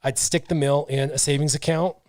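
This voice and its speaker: A man's voice: fairly high pitch, fairly quick, dry recording. A man in his thirties hosting a podcast, chatting casually and reading viewer comments from a small studio mic. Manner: relaxed, reads out viewer comments. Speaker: podcast host. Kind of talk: casual chat. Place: small brick-walled studio. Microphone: headset and studio mic.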